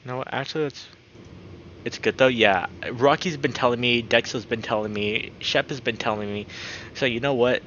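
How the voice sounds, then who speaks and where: A man hosting a game livestream, chatting casually and excitably with his viewers. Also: mid-range voice, moderate pace, muffled recording, a little hiss or hum. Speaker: game streamer and host. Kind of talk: casual livestream chat while playing a visual novel.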